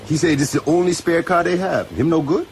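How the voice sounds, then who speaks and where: An actor playing a loyal, easygoing Caribbean islander in a radio drama, speaking deferential patois with a Caribbean accent. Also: male voice, on the high side, fast talker, close to an everyday voice. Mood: friendly, easygoing. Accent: Jamaican or Caribbean. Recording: music behind the voice.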